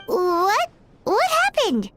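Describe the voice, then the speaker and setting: A woman voicing a small, cheeky, childlike fairy companion in an anime game, squeaky and blunt. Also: wildly swooping pitch, drawn-out words, noisy background, exaggerated voice. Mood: amazed. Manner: exclamatory, blunt. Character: small excitable girlish floating fairy companion, loyal and cheeky. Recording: background music.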